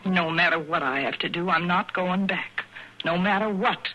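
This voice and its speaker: A woman's voice: fairly low pitch, normal speed, highs cut off, close to an everyday voice. An actress playing a defiant, fearful runaway saloon girl in a 1950s radio western, bitter and resolute, with an American accent. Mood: bitter defiance. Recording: old radio with faint noise.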